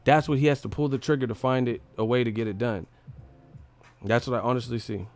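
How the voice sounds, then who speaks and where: A man's voice: medium pitch, steady tone, brisk, darkish tone, soft background music. A man hosting a casual livestream fight breakdown, blunt and opinionated, with slangy talk and plenty of swearing. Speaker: livestream host, boxing commentator.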